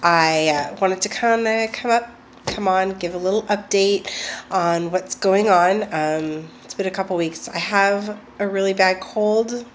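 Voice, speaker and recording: A woman's voice: mid-range voice, unhurried, a little hiss or hum. A woman vlogging at home, chatty and hesitant with lots of ums, getting over a cold. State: recovering from a cold. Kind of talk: casual personal video diary.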